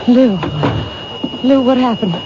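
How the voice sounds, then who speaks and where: A woman's voice: fairly high pitch, slow. An actress playing a frightened woman stalked by a killer in a 1970s radio drama, speaking hesitantly and doubtfully. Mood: anxious. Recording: muffled old radio broadcast with hiss.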